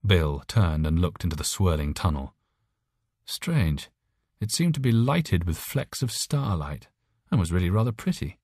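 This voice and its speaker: A male audiobook narrator reads a scripted short story. His voice sits low, and the sound is dry, with very little room in it. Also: big pitch swings, unhurried, darkish tone, slightly rough, no room sound.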